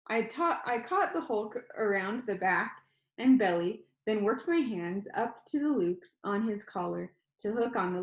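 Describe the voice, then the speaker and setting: A woman reading a children's novel aloud, with gentle, chatty asides to a young listener. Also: mid-range voice. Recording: roomy echo.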